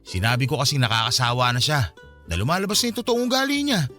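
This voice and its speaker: A frustrated young man in a radio drama, quick and emphatic. His pitch swings widely as he complains, over soft music. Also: sing-song. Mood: regretful. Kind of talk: Filipino radio drama acting.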